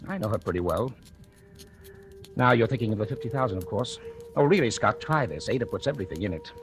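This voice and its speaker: An actor playing a jealous, falsely genial scientist husband in 1940s radio drama style. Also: male voice, fairly low pitch, animated, fast talker, music in the background, lightly acted.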